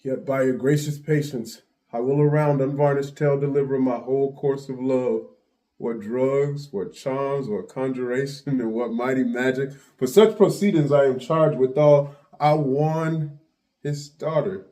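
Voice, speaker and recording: Man performing dignified Othello, measured and formal. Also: mid-range voice, warm tone, close to an everyday voice. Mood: earnest, proud. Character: Othello, dignified male soldier defending his marriage. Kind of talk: theatrical Shakespearean monologue.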